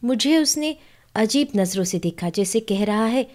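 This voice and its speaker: A woman's voice: a little high, expressive, moderate pace, a little mellow. A woman narrating a literary short story in the first person, audiobook style.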